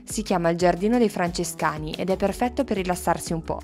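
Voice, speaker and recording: A woman's voice: lowish voice, fast talker, darkish tone. A woman narrating a travel vlog in a scripted style. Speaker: travel vlogger. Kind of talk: scripted travel narration with short casual asides. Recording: music under the voice. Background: background music.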